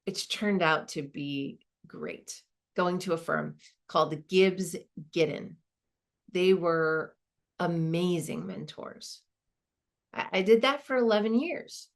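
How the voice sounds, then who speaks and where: A woman's voice: on the low side, takes it slowly. An actress playing a modest woman law school dean, giving a reflective, conversational monologue with small hesitations. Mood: appreciative, reflective. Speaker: performer of a dramatized narrative.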